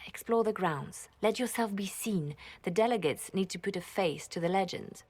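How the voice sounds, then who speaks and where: An actress playing a composed, reassuring religious leader and former spymaster in a fantasy game, calm and deliberate. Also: female voice, mid-range voice, slightly rough, close to an everyday voice.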